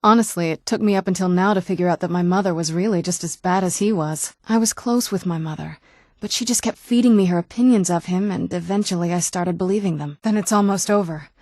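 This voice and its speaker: A young female vampire noblewoman from a fantasy video game. Her voice sits fairly low and is recorded close and dry. She is wry and guarded, with an old hurt under earnest words. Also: fast, a little mellow, dry recording. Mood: bitter. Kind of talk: video game character dialogue.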